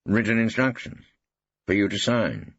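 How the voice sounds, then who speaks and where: A man's voice: on the low side, expressive, a little slow, slightly rough, dry recording, dull audio, voice pushed up. A man playing a shrewd, combative old English barrister in an audiobook, insistent and given to pompous rhetorical flourishes. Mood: coaxing, probing.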